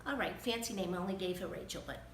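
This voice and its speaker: A woman's voice: on the low side, quick pace, close to an everyday voice. A woman playing a brash, opinionated, gushing storybook guest reader who chats in theatrical asides. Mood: opinionated, excited. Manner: chatty, theatrical, interrupting with asides. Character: Selma, a brash, opinionated, Fancy Nancy-obsessed guest reader. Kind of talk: children's storybook read-aloud. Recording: roomy, distant mic with faint noise.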